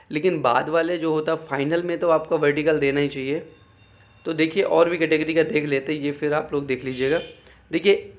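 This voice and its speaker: Man, somewhat high voice. Informal coaching explainer, instructive, repeats points. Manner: repetitive, instructive, checks in with "okay" often. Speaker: exam-coaching presenter. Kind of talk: informal explainer talk, unscripted.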